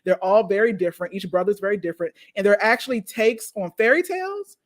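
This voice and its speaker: A woman's voice: mid-range voice, lively intonation, fast talker. Female panelist, mid-range voice, playful and emphatic, gushes casually as she gives recommendations. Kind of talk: casual chat.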